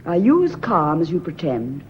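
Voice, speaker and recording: An actress playing an anxious, brooding secretary and secret lover in a British radio drama, nervy and intense, with a British accent. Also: female voice, low voice, big pitch swings, normal speed, lo-fi sound, slight background noise. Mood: haunted, anxious. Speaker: actor in a radio play.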